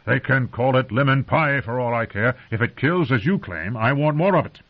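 A man's voice: medium pitch, animated, measured pace, voice pushed up. A man voicing a stern, impatient old male commander who growls and scorns. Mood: gruff. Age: older. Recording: muffled lo-fi recording.

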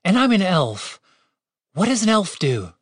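A man's voice: sits high, very animated, unhurried, breathy edge, dry recording, studio quality, voice pushed up, full character voice. A man narrator voicing a bewildered, indignant young woman in a fantasy audiobook, argumentative and exasperated. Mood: puzzled.

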